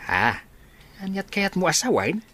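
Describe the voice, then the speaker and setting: An actor playing a hospitable, teasing middle-aged father in a radio drama, with an Ilocano accent and a husky voice. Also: high voice, sing-song, unhurried, bright, forceful tone, full character voice. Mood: welcoming.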